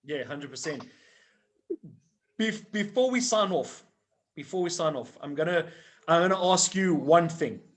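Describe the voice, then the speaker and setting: Playful high-voiced man hosting. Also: animated, measured pace, pauses often. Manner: playful, repeats himself for emphasis. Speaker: podcast host. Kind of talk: casual video-call chat. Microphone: video call.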